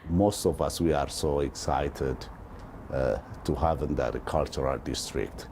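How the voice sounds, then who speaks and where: A man from Ethiopia with an extremely deep voice. He speaks slowly and a bit hesitantly. Clear street noise runs behind him. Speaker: car dealer interviewee.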